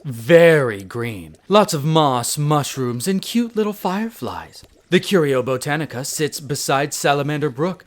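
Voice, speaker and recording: A man's voice: medium pitch, natural voice. A man playing a haughty, teasing elf archaeologist in a scripted audio roleplay, speaking pompously and precisely to the listener. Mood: teasing, persuasive. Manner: pompous, precise, theatrical enunciation. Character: tsundere male elf archaeologist-alchemist, haughty, brisk, teasing scholar.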